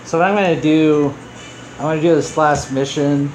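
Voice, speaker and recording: A man's voice: on the high side, steady tone, moderate pace, darkish tone, faint music behind, low-quality recording. A man giving casual, off-the-cuff commentary as he hosts a gameplay video. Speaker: gaming video host.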